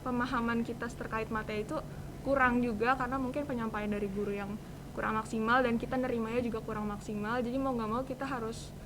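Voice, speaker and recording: Teenage girl, high voice over noisy ambience. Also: fairly even intonation, fast. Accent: Jakarta Indonesian. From Indonesia. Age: teens. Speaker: student podcast guest. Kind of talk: casual podcast conversation.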